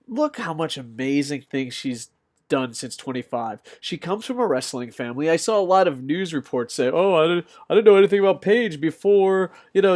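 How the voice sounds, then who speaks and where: Rambling young man with squeaky voice. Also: a little mellow. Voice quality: a bit squeaky. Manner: rambling, many fillers and restarts. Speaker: wrestling news commentator. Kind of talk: off-the-cuff opinion commentary.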